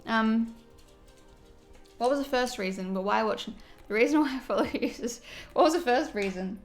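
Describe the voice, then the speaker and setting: A woman's voice: on the high side, normal speed, faint music behind. Chatty woman streamer doing her makeup. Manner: chatty, reads viewer comments aloud and reacts warmly. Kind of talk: casual livestream chat while doing makeup.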